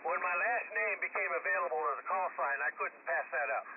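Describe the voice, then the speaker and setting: A man's voice: high-pitched, fast talker. A man chatting casually as an amateur radio operator over shortwave, stumbling and repeating words as he goes. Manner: hesitant, stammering with repeated words. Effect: shortwave single-sideband radio transmission. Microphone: radio transceiver microphone, received over the air. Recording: tinny shortwave radio link with heavy static.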